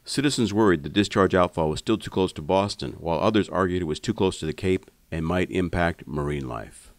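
A man reading scripted documentary narration in a formal, measured way. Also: sits low, no echo.

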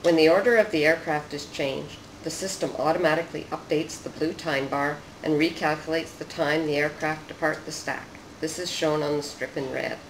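A narrator of medium pitch reads a scripted technical demonstration. The delivery is measured and explanatory. The recording is roomy and echoey, with noticeable noise behind the voice. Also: steady tone. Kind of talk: scripted narration of a research demo.

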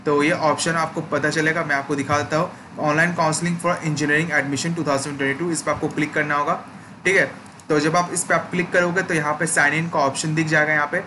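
Young male tutor, bright forceful tone, slightly high voice, walks through a process step by step. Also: a little hiss or hum. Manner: explanatory, repeats "ठीक है" check-ins. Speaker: education channel host. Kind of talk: screen-recorded tutorial walkthrough.

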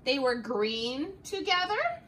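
A middle-aged woman teacher reading a picture book aloud in a classroom, slow and expressive, with the camera a few feet away. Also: high voice, slight background noise. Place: classroom, indoors.

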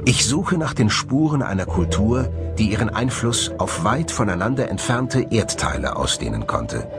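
A man reads scripted documentary narration in a measured, solemn way. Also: on the low side, sing-song. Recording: music bed under the voice. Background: music.

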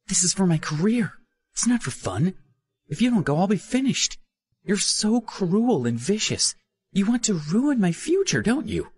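A man voicing a spoiled, pushy young woman streamer in a high, demanding, pleading tone. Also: very animated, moderate pace, darkish tone. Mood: indignant pleading.

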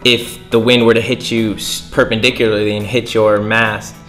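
A young man explains the physics of sailing in an easygoing, plain way, his voice sitting fairly low. He is close to the camera indoors, with faint music running underneath. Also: little pitch movement, normal speed, fairly bright tone. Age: young adult. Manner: explains with hand gestures, plain everyday words. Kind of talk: casual explanatory lesson. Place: indoors by a window near the water.

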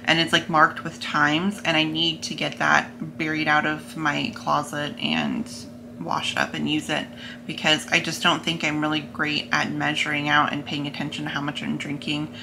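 A woman's voice: sits low, monotone, moderate pace, creaky, background noise. A young adult American woman chatting casually to camera in a vlog, seated in a plain room at home. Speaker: vlogger.

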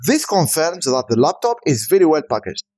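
Male tech reviewer, native Arabic speaker, higher-pitched voice with lively intonation, scripted product voice-over. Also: a little fast, slightly rough.

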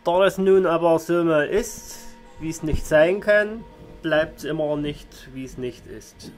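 Slow-speaking, higher-voiced contemplative man. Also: soft background music. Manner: halting, contemplative, with long pauses. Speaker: vlogger musing on spirituality. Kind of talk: improvised philosophical monologue to camera. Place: small room indoors.

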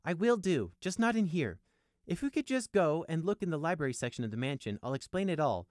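A man's voice: on the high side, very animated, brisk, no echo, clean studio sound, voice pushed up. A man voicing a sly, spiteful male captive creature who talks with false politeness in a coaxing, pleasant manner for a story. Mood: controlled, polite.

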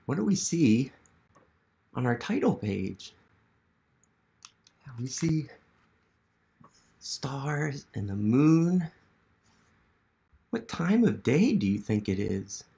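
American man, thirties, children's storytime educator, slow delivery, medium pitch, encouraging, question-and-pause phrasing. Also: very animated, gravelly, warm tone. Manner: encouraging, asks questions and pauses for young listeners. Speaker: museum literacy educator hosting a children's storytime. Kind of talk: interactive read-aloud for young children. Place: small living room at home, indoors.

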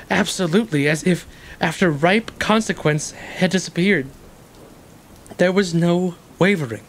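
An actor playing an anxious, educated ex-convict who justifies himself in a halting, earnest stage-play delivery. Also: male voice, fairly high pitch, lightly acted. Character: guilt-ridden educated ex-convict man, anxiously self-justifying. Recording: clearly noisy, rain-like ambience behind.

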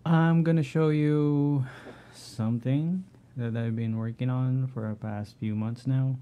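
A middle-aged man with a Filipino accent, talking through his home network setup in a halting way, often clearing his throat. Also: medium pitch, fairly even intonation, narrow, tinny audio. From Philippines. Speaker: tech vlogger. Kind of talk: casual screen-share walkthrough.

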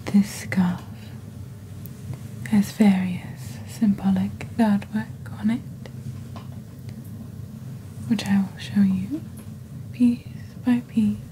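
Young female ASMR presenter, breathy near-whisper, very mellow and dark tone, deliberate scripted read, faint ambient noise. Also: mid-range voice, fairly even intonation, rough recording. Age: young adult. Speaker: ASMR host playing a shopping channel presenter. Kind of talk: soft-spoken ASMR, scripted product presentation.

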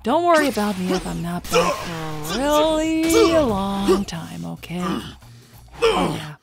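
A woman's voice: medium pitch, big pitch swings, slow, vocal fry, exaggerated voice. Rouge, a woman voicing her, a sarcastic bat. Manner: sarcastic, drawling. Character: sly sarcastic adult female bat. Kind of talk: fan-made cartoon voice acting. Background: loud background music.

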